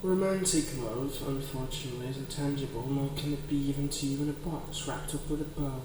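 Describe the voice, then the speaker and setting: A man's voice: mid-range voice, fairly even intonation, brisk, very echoey, natural voice. Casting: man voicing a genteel, covetous demon for ASMR roleplay; ornate diction, distant from the mic, noisy ambient recording. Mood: gentle, persuasive. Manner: formal, genteel, ornate phrasing. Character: suave, courtly male demon coveting a lonely person's heart. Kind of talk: ASMR roleplay, scripted dramatic monologue.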